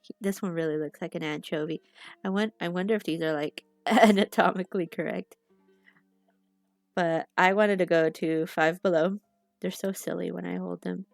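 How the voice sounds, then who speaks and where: A woman's voice: on the low side, fairly even intonation, normal speed, creaky, no room sound, faint music behind. A young woman streaming from home on a headset mic, chatting in a rambling way with lots of fillers and sounding a little sniffly from allergies. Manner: chatty, rambling, loses her train of thought with many fillers. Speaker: art streamer. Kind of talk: casual rambling livestream chat.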